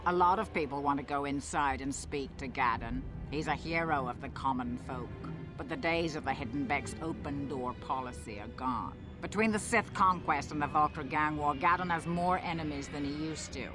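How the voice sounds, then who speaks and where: A woman's voice: deep voice, normal speed, few pauses, natural voice. A woman playing a wary, protective gang-base guard in a video game, firm and businesslike. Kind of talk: video game character dialogue. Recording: music behind the voice.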